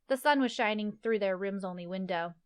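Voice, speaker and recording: A woman's voice: mid-range voice, fairly quick, smooth. A woman reading scripted fantasy story narration as the narrator of an audio play.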